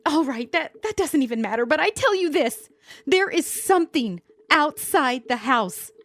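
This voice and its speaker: Lady playing worn-down nervy wife in old radio horror play, high voice, pleading and bitter, very dramatic. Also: sing-song, normal speed, a bit bright, no room sound. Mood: anxious, insistent. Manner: pleading, emotional, melodramatic. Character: frightened, bitter, long-suffering middle-aged wife.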